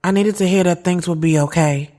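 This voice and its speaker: A woman's voice: fairly low pitch, a little fast, warm tone, close to an everyday voice. A woman playing a heartfelt, lovelorn thirty-year-old who narrates her own story in a conversational, confiding way for an audio drama. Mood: reflective, proud. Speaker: lead actress and narrator.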